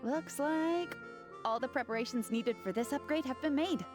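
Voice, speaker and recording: A woman's voice: high-pitched, moderate pace, over music, natural voice. An actress playing a polite, helpful young woman shop adviser in a video game cutscene. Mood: helpful, businesslike.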